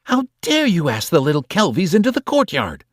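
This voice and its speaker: A cold, proud aunt scolds in a sharp, raised voice, as a man puts her on. Her words carry fury. The sound is close and dry. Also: on the high side, huge pitch swings, normal speed, no room sound, pitched above the performer's own voice. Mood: furious. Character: Aunt Beryl, cold, furious, proud woman.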